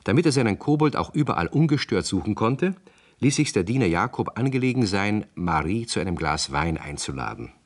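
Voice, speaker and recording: A man's voice: fairly low pitch, very animated, fairly quick. A man narrating a story for a 1960s radio play in scripted storybook style.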